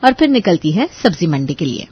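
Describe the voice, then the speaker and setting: A woman's voice: fairly low pitch, big pitch swings, fairly quick, slightly rough, no echo, dull audio. A woman narrating a scripted bedtime story as a storyteller.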